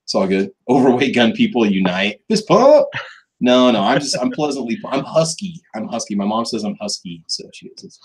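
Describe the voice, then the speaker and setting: A man's voice: mid-range voice, big pitch swings, normal speed, breathy edge, rough recording. A man co-hosting a live food review stream, chatting in a laid-back, easygoing way, laughing often and trailing off. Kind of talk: casual live-stream chat.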